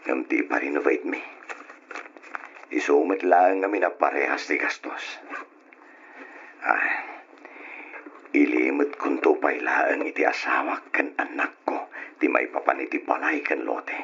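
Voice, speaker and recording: An actor playing a hard-drinking, stubborn husband and father in an old radio drama, with a gravelly Ilocano delivery. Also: extremely low pitch, measured pace, pauses often, fairly bright tone, full character voice. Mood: sober, reflective. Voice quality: gravelly, husky. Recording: dull lo-fi old radio recording.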